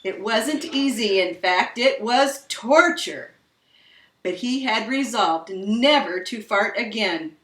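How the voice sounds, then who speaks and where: A woman reads a children's story aloud with dramatic emphasis, taking her time over the words. Her voice is bright and swings widely in pitch. The recording is roomy and picked up from a distance. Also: expressive, takes it slowly. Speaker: storyteller reading a children's picture book aloud. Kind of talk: expressive read-aloud storytelling. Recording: roomy echo, distant microphone.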